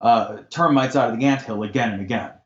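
An assertive man talks with passion in a podcast conversation and swears as he heats up. His voice sits at a middle pitch. The room echoes around him, and the sound is dull and lo-fi. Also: fast talker. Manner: assertive, impassioned, swears when heated.

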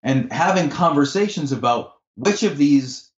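A man's voice: on the high side, expressive, a little slow. A man in a podcast conversation, talking in an assertive, impassioned way that turns to swearing when he gets heated. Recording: roomy, dull lo-fi sound.

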